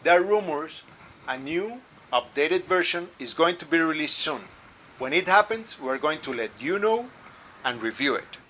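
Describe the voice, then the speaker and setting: A man's voice: fairly high pitch, a bit bright, a little hiss or hum. A middle-aged man from Colombia, a native Spanish speaker with a Colombian accent, working as a birding guide and explaining things carefully and earnestly to camera. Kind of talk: informal review to camera.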